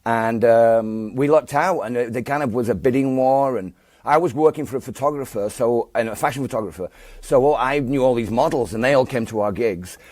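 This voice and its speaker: A man in his fifties speaks with a broad Yorkshire accent at a mid-range pitch, his voice captured close and completely dry. He rambles easily through the story, circling back on himself with fillers and restarts. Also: brisk, darkish tone, no echo. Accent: Northern English, Yorkshire. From England. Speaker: guest musician. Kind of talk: relaxed storytelling interview.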